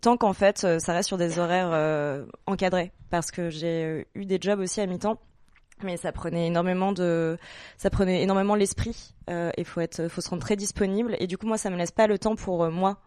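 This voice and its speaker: A woman in her late twenties from Normandy, a guest on a radio show, talking casually with many pauses and restarts. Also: lowish voice, steady tone, a little mellow, no room sound, clean studio sound. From Normandy, France. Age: late 20s. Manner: hesitant, many fillers and restarts. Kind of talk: casual interview chat.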